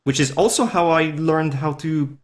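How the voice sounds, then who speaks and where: A man's voice: fairly high pitch, normal speed. A man with a Swiss Italian accent, a non-native English speaker whose first language is Italian, chatting as a podcast guest in an easygoing, rambling, self-deprecating way. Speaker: podcast guest, furry artist. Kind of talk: casual interview chat.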